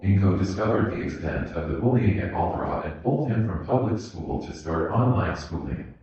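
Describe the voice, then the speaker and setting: A man's voice: low-pitched, a little mellow. A man reading fan fiction aloud as a narrator, in a scripted audiobook style. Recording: muffled, very echoey room recording.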